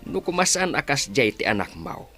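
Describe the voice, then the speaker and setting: A man's voice: on the high side, big pitch swings, normal speed, sharp tone, soft background music, full character voice. A man playing an admonishing village husband and father in a Filipino comedy radio drama. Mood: scolding.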